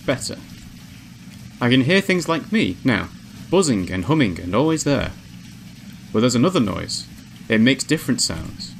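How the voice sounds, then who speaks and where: A man voicing a newly awakened, curious female android in a visual novel, measured and introspective. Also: medium pitch, very animated, gravelly, slight background noise. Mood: contemplative. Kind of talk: visual novel character narration. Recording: music behind the voice.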